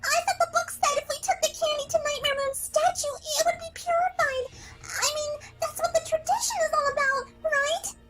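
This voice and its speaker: A woman voicing a giddy, excitable young female pony in a cartoon-style story reading. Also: very high-pitched, normal speed, hardly any pauses, over loud music, voice pushed up. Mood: worried. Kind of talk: cartoon character voice in fan fiction reading.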